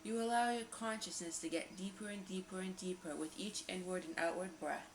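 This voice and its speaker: A woman narrating a guided meditation visualization in a slow, repetitive, soothing manner with lingering pauses. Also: fairly low pitch, steady tone. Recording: roomy echo, faint background noise.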